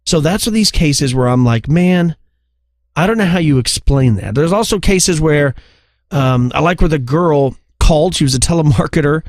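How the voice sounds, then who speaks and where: Male podcast co-host, slightly high voice, casual storyteller, very dry studio sound. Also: expressive, moderate pace, no room sound.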